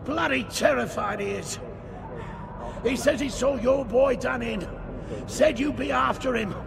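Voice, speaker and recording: An actor playing an agitated, coarse British gangster boss in a video game, squawky and blustering. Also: male voice, extremely high pitch, measured pace, creaky, noisy background, exaggerated voice. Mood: angry, agitated.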